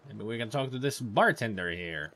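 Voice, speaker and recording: A man's voice: on the low side, lively intonation, moderate pace, sharp tone. A man giving casual, rambling commentary over gameplay, with sarcastic asides. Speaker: let's play commentator.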